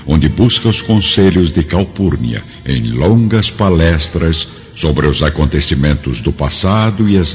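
Very deep, dramatic male radio narrator. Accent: Brazilian. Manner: solemn, formal. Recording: dull lo-fi radio drama, music behind.

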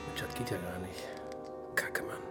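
A man's voice: sits low, steady tone, moderate pace, over music, natural voice. An actor playing a harried, middle-aged man in trouble in a radio drama, talking in a colloquial, exasperated way. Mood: frustrated. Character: harried, broke man in trouble with police, a father. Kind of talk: naturalistic radio drama acting.

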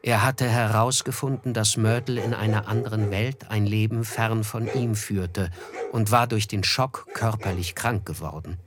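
An actor playing a reflective, observant narrator in a radio drama, telling his memories in measured, literary phrases. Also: on the low side, fairly even intonation, noisy background, lightly acted. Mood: reflective, grave.

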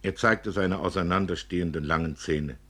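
A man narrating a literary story for radio in an expressive way. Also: low-pitched, moderate pace.

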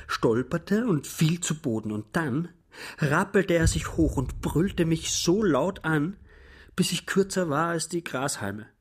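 Radio play: cowed, hesitant man of forty, rough husky tone, subdued and evasive. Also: fairly high pitch, heavily acted. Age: middle-aged. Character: cowed, hesitant son of a tyrant, around forty. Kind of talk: radio play monologue and dialogue.

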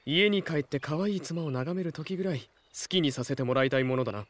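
A man's voice: on the high side, a little fast, lightly acted. A man acting in a Japanese audio drama as a composed, formal young emperor who teases dryly and dotes on his wife. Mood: teasing, fond.

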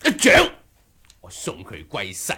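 An actor playing a sly, impish martial-arts trickster in a Cantonese radio drama, nonchalant and chuckling. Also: male voice, fairly low pitch, wildly swooping pitch, a little slow, sharp tone, slightly rough, exaggerated voice. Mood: gleeful.

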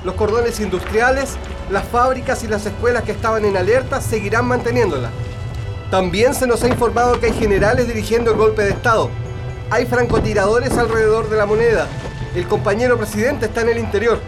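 An actor playing an urgent, commanding Chilean union comrade in a radio drama. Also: male voice, high voice, fairly even intonation, brisk, music in the background, natural voice. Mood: urgent, alarmed.

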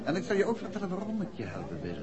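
An actor playing a composed, courteous and determined detective in a 1960s radio play. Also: male voice, high-pitched, huge pitch swings, racing, crowd noise. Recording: dull, noisy old radio recording.

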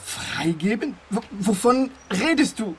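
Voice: medium pitch, lively intonation, measured pace, slight background noise, lightly acted. An actor playing a bewildered, grieving male dancer in a radio play, incredulous and aggrieved. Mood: bewildered, indignant. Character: bewildered, distraught male dance partner, mourning husband.

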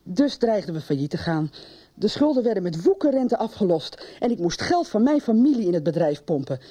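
An actress playing a bitter farmer's wife in a conversational radio soap scene. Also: female voice, mid-range voice, big pitch swings, fairly quick, dark tone. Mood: bitter, sardonic. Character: bitter farmer's wife confiding about a ruinous deal.

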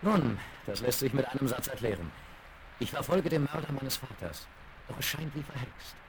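An actor playing an earnest, courteous gentleman adventurer in a children's western radio play, polite and expressive in speech. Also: medium pitch, moderate pace, creaky, crowd noise. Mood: earnest, wary. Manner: polite, expressive, well-spoken. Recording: faintly crackly vinyl radio play rip.

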